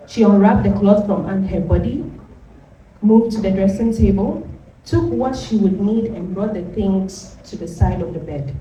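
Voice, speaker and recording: A woman's voice: medium pitch, measured pace, warm tone, slight background noise, poor audio. A woman narrating a scripted story as part of a dramatized reading.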